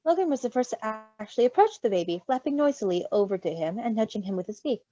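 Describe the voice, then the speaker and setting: A woman reading a story in an animated way, with giggly, casual asides. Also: fairly high pitch, quick pace, no echo. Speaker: story reader. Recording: slightly dull, close dry recording.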